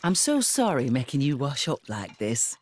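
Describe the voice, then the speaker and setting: A woman playing a kindly, motherly older village guardian in a video game, gently confiding in a folksy way. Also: very deep voice, very animated, moderate pace, a little hiss or hum. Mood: fond, teasing. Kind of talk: video game cutscene acting. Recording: music behind the voice. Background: loud instrumental music.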